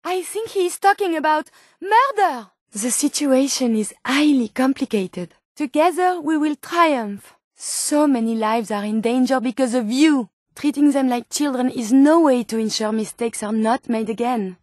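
A woman voicing a gentle, curious French robot scientist in a video game, speaking politely and formally with a French accent. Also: sits high, expressive, unhurried, no echo, studio quality. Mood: troubled, indignant. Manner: polite, formal, precise phrasing. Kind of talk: video game character dialogue.

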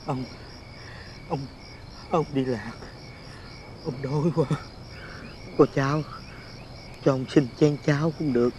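A man playing a frail, hungry, humble old wanderer in a televised fairy tale, speaking feebly and haltingly. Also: medium pitch, steady tone, darkish tone, slight background noise, close to an everyday voice. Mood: weak, begging.

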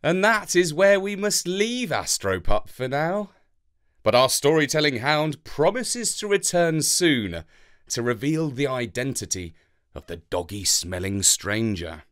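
A man's voice: medium pitch, sing-song, unhurried, fairly bright tone. A man reading a children's story in a dramatic, playful way, as scripted narration.

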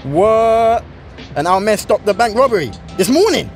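Excitable London schoolboy. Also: male voice, high-pitched, moderate pace, soft background music. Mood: excited, curious. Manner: animated, colloquial. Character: excitable, cheeky Nigerian-born London schoolboy in his teens. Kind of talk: slangy motion comic voice acting.

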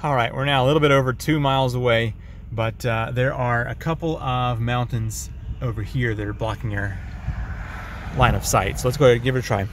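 A man's voice: medium pitch, steady tone, brisk, slight background noise. An American man in his thirties giving a casual, hands-on product review.

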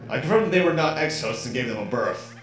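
A man giving voice to a calm male ship computer that briefs its agent in a measured, formal tone. The pitch moves widely. It sits in a roomy echo with game music behind it, and a slightly stuffy nose comes through. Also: close to an everyday voice. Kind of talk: reading game dialogue aloud.

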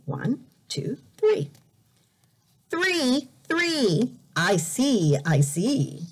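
A woman teacher reading a picture book aloud to children in a deliberate, exaggerated way, repeating words for learners, recorded indoors on a device camera. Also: on the high side, sing-song, husky. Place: indoors in a room by a bright window.

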